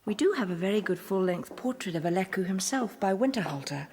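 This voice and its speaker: Radio drama countess, played by a woman: young, cool, aristocratic, quietly alluring, speaks with careful, genteel pauses. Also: medium pitch, lively intonation, slight background noise, lightly acted. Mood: softly inviting.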